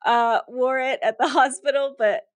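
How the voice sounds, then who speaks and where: A woman's voice: high voice, steady tone, moderate pace. A woman in her thirties, a podcast host being interviewed, talking casually with lots of fillers and frequent laughter. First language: English. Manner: chatty, laughs often, many fillers.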